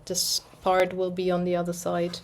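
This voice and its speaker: A young German woman chatting casually in English, rambling a little and pausing to search for words as she shows her stitching projects. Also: on the low side, monotone, darkish tone, slight background noise. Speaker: cross-stitch vlogger. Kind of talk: casual chat.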